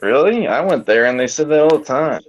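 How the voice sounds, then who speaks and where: A man's voice: medium pitch, expressive, fairly quick. A man from Colorado chatting casually in a group, easygoing and a bit rambling. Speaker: chat participant. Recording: dull lo-fi recording.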